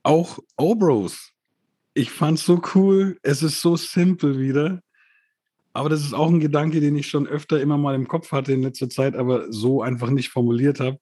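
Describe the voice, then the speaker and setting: A man co-hosting a casual rap review chat, relaxed and laughing often, who grew up bilingual. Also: fairly high pitch, moderate pace, slightly rough, dry recording. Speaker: podcast co-host reviewing rap releases.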